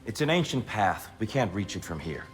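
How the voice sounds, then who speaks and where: An actor playing a solemn, secretive warrior god with a grave, measured delivery in a video game. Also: male voice, fairly low pitch, big pitch swings, soft background music. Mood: cool, guarded. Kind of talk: video game cutscene acting.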